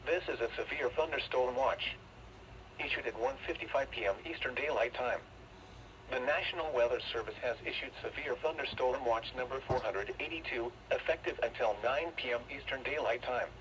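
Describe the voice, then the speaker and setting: A man reading a formal scripted weather bulletin in a measured, impersonal, automated way over a weather radio. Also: medium pitch, fairly bright tone. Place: played through a weather radio speaker. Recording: noisy weather radio broadcast.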